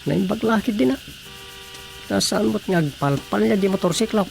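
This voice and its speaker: A man's voice: sits high, normal speed, gravelly, faint music behind, rough recording. An actor playing a suspicious, grumbling man in a radio drama, muttering and sighing as he talks. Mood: conversational.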